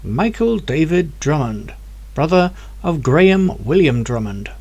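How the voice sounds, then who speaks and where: Brief: a steady hologram professor AI, read by a man, measured, lively pitch. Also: full character voice. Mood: matter-of-fact. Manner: measured, matter-of-fact replies. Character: calm hologram professor, an AI helper.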